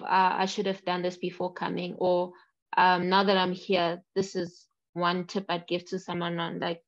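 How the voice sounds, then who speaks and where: Woman from Congo chatting animatedly. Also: lowish voice. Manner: animated, many restarts and fillers. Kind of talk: casual chat. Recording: dull lo-fi sound.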